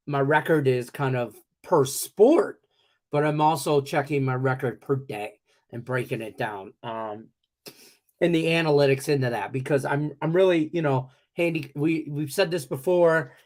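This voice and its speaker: A middle-aged man hosting a sports betting show, chatting loosely and joking, his voice congested and slightly cracky from a cough. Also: a little high. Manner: loose and joking, rambling with many fillers. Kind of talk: casual live-stream chat.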